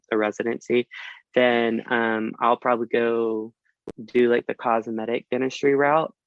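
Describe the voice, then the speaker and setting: Young man talking halting and relaxed. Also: medium pitch, clean tone, no echo, lo-fi sound. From Alabama. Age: 20s. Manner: relaxed, halting, many fillers and restarts. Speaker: dental student guest. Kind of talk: casual interview chat.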